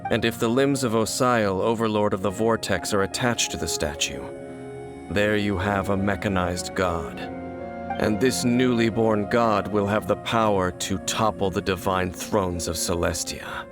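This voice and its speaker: A sly, smooth knight. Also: male voice, on the low side. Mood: grave. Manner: smooth, measured, knowing. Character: suave, secretive knight, calm and sly. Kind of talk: video game cutscene voice acting. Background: game soundtrack music.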